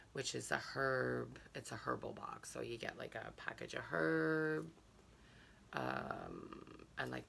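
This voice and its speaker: A woman with a hoarse, gravelly voice talks slowly through an unboxing. She pauses often on fillers. Her tone is bright and sharp despite the rasp. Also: extremely low pitch, a little hiss or hum. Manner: halting, many fillers. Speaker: subscription box reviewer. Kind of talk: casual unboxing chat, reading a card aloud.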